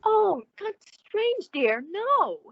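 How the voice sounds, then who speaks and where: An actress playing a gushing, solicitous, sociable woman with effusive, drawn-out emphasis in an old-time radio suspense drama. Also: very high voice, very animated, no room sound, highs cut off, heavily acted.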